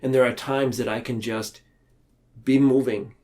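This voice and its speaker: A man's voice: mid-range voice, steady tone, a little mellow. Man explaining thoughtfully, rephrasing as he goes. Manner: halting, circles back and rephrases mid-sentence. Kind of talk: reflective, explanatory podcast discussion.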